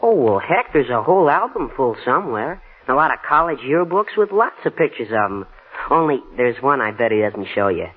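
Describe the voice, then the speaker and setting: A man's voice: medium pitch, big pitch swings, brisk, breathy edge. An actor playing an eager, shy teenage boy in a 1940s radio drama, gushing and slightly stammering. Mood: boyish, chatty. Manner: boyish, gushing, hesitant stammer. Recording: muffled, tinny 1940s radio broadcast.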